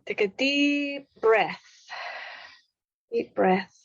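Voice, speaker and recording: A woman guiding a meditation in gentle, deliberate short phrases with long pauses between them. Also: on the high side, no echo, dull audio. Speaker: meditation guide. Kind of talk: guided meditation, spoken slowly.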